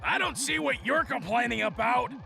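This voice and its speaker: A man voicing a big, childlike, sulky muscle man of a thief gang in an animated game, gravelly and blustering. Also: extremely high pitch, normal speed, exaggerated voice. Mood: grumbling. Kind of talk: animated video game voice acting.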